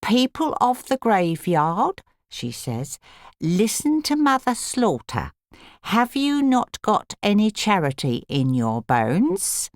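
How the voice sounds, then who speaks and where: A woman's voice: medium pitch, very animated, slow pace, no room sound, studio quality. An actress playing a feisty, plain-spoken old rustic woman who tells stories in folksy dialect, in an audiobook drama. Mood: fond reminiscing. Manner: folksy storytelling with dialect grammar.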